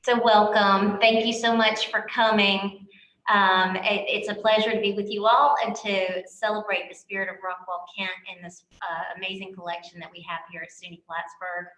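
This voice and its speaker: A woman with a bright, clear voice welcomes the audience and introduces the guest speaker. She sounds friendly, stumbles a little and uses many fillers, and her voice rings through an echoey museum room. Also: mid-range voice, flat delivery, moderate pace. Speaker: event host. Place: museum lecture hall.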